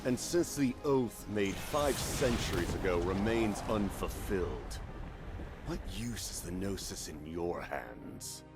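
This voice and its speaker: A solemn, commanding warlord from a video game speaks in a low voice with a wide, rolling pitch. He drags out every word, slow and deliberate. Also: expressive, loud music behind the voice. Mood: grave menace. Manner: slow, measured, solemn delivery. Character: imposing armored male commander, grave and commanding.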